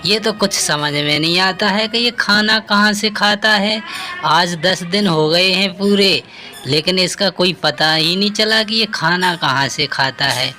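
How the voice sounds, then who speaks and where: A man voicing an earnest character in a devotional cartoon tale, speaking deliberately and respectfully. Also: high-pitched, bright, forceful tone, faint music behind, full character voice. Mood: suspicious, puzzled. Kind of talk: cartoon story dialogue.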